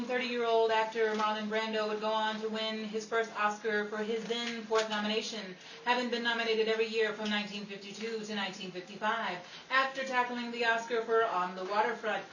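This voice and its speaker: A woman's voice: a little high, lo-fi sound, lightly acted. A woman playing a poised, patient 1950s Hollywood radio hostess in old-time radio style. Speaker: radio show host and actress. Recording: roomy, distant microphone, loud background noise.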